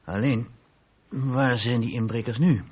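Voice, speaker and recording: An actor playing a stern, reproachful police inspector in a radio drama, questioning in a clipped, insistent, authoritative way. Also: male voice, medium pitch, steady tone, a little slow, breathy edge. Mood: businesslike. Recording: muffled narrow-band old radio recording.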